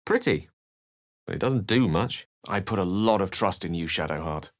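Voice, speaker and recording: A man's voice: deep voice, animated, normal speed, no room sound, studio quality. A man voicing a learned, eloquent wizard adventurer in a video game, with an English accent and a theatrical, articulate delivery. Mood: dry, wry. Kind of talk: dramatic scripted game dialogue and narration.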